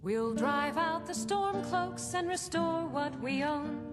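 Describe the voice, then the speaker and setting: A woman's voice: high-pitched, takes it slowly, big-room sound, loud music behind the voice. An actress playing an obliging, spirited young female tavern bard in a fantasy video game. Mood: rousing singing. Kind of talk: video game dialogue and folk ballad singing.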